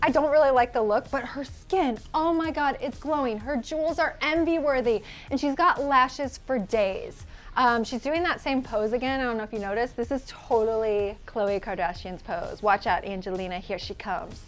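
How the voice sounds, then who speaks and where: A woman's voice: fairly high pitch, moderate pace. A young woman with an American accent co-hosting fashion commentary in a casual, gushing, emphatic way. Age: young adult. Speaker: fashion show co-host. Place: indoor studio set. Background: music.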